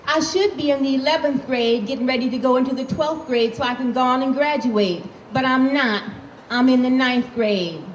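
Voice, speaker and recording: A blunt, defiant teenage girl from Harlem with a streetwise, profane way of talking, as a woman reads her aloud from a novel. Her voice sits fairly high. It rings in an echoey room with a faint hiss behind it. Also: moderate pace, close to an everyday voice. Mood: matter-of-fact. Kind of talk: reading a novel aloud in character.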